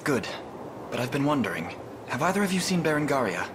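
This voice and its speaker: A man voicing an earnest, resolute young prince with a formal, steady manner in a fantasy video game. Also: medium pitch, fairly quick, background noise, lightly acted. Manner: formal, steady, self-assured. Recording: music behind the voice.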